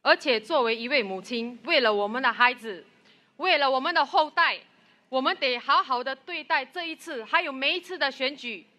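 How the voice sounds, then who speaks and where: High, bright woman at a rally. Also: fairly quick, slight background noise. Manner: earnest, rousing. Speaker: rally speaker. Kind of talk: political rally speech. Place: outdoor rally with loudspeakers.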